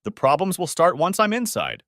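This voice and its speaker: A man plays a middle-aged husband in a storybook-style narration. He is blunt, sarcastic and fed up, with animated swings in pitch. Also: normal speed, no room sound, clean studio sound, exaggerated voice. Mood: sarcastic, weary.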